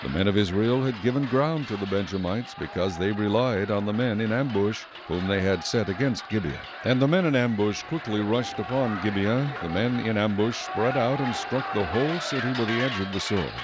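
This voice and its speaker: Low-voiced male Bible narrator over background ambience. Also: background noise. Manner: solemn, measured storytelling. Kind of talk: scripted dramatized Bible narration.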